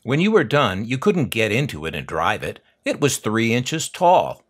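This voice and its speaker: A man's voice: medium pitch, big pitch swings, moderate pace. An older American man narrating an unboxing video with wry, dry humor and nostalgic asides. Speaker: host of a collector's unboxing channel.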